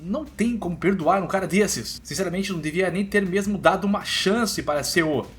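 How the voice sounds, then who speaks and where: A man's voice: sits high, moderate pace, a bit bright. A Brazilian man narrating a scripted story recap in a dramatic, colloquial storytelling manner. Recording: faint music under the voice. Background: faint background music.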